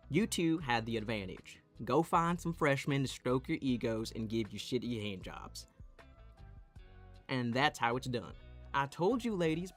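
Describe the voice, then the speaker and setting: A man's voice: mid-range voice, close to an everyday voice. Man acting a smug, teasing young playboy. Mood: boastful, cocky. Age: young adult. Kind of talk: audio roleplay acting.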